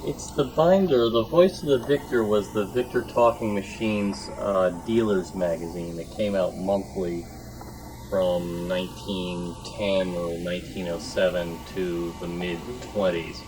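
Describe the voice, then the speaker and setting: A man's voice: deep voice, fairly even intonation, measured pace. A man speaking up as a knowledgeable audience member in an informal club discussion. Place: club meeting room. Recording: noisy meeting room.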